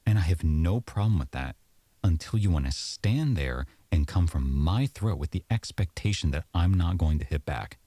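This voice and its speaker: A man's voice: low-pitched, lively intonation, moderate pace, dark tone, dry recording. A man playing an aggrieved, sarcastic boyfriend arguing at home in an audio roleplay, accusatory and exasperated. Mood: scornful, exasperated. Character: aggrieved, sarcastic boyfriend in a heated domestic argument.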